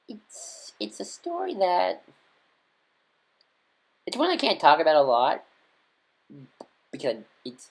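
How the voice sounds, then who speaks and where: A man's voice: fairly high pitch, creaky. A man giving casual, unscripted recommendations as a fan reviewer, rambling hesitantly and often correcting himself. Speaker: vlogger reviewing audio dramas.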